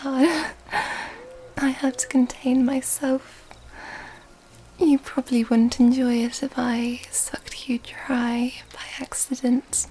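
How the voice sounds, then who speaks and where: A woman acts out a mysterious vampire seductress in an intimate ASMR roleplay. Her high voice drops into soft, breathy whispers and stays very slow and fairly level in melody. She is teasing and quietly predatory. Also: flat delivery, rough recording. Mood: tender, satisfied.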